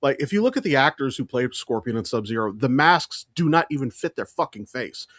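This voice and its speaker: A man's voice: medium pitch, animated, brisk, a bit bright, no room sound, studio quality. A man co-hosting a podcast in casual pop-culture chat, emphatic and opinionated, swearing freely. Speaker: podcast co-host.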